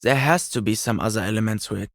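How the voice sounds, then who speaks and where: Young commie bookworm for a video game, super serious n pedantic, creaky voice, dry close recording. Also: male voice, on the low side, quick pace, fairly bright tone, no echo. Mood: thoughtful. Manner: bookish, precise, self-serious. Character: earnest, pedantic young male communist intellectual. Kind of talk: video game character voice lines.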